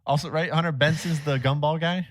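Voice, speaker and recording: A young man co-hosting a casual podcast, his voice climbing when he gets excited. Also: a little high, fairly quick, no echo, studio quality. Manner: voice rises when excited, lots of fillers. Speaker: podcast co-host. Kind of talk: casual chat.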